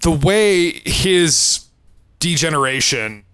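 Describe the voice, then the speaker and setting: A man's voice: on the high side, expressive, takes it slowly, fairly bright tone, smooth. A man co-hosting a podcast, talking about film in a casual, rambling way with plenty of swearing. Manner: profane, rambling delivery. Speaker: podcast co-host.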